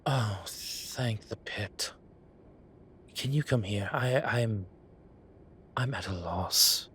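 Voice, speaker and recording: A man playing an ancient, bookish incubus demon professor in an audio roleplay, dry and exasperated, with halting, sighing delivery. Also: on the low side, a bit bright, slight background noise. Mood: sheepish pleading. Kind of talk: audio roleplay acting, intimate one-sided dialogue.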